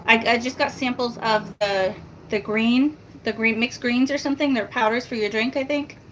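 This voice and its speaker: Woman, soft-spoken, fairly high voice, chatty and self-mocking, faint background noise. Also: moderate pace, rough recording. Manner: chatty, rambling, self-mocking, laughs often. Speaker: family vlogger. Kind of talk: casual chat to viewers.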